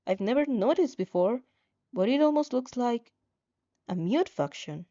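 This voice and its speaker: A woman's voice: on the high side, animated, measured pace, dark tone, lo-fi sound. A woman narrating a second-person story expressively, in an informal manner with stumbles over the lines. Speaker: fan fiction reader.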